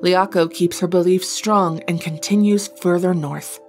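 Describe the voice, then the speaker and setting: A woman's voice: on the low side, fairly even intonation, a little slow, darkish tone. A woman reading a scripted narration that recaps a fantasy story. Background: background music.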